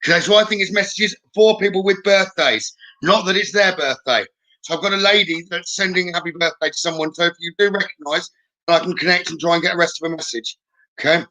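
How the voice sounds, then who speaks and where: Male live-stream psychic host, slightly high, forward bright voice, informal and halting delivery while addressing viewers. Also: poor audio.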